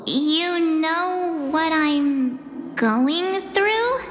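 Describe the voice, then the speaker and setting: A woman's voice: extremely high pitch, extremely slow, noisy background, full character voice. A plucky, loyal young girl. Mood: unsure, questioning. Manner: bright, earnest, hesitant at times. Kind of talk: game cutscene dialogue. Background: music.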